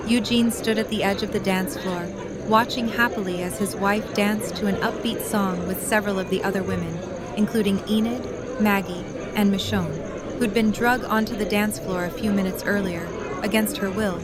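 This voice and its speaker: A woman's voice: mid-range voice, steady tone, normal speed. A woman narrator reading scripted story narration for an audio drama. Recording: loud music and crowd behind. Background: loud music, cheering or chattering crowd.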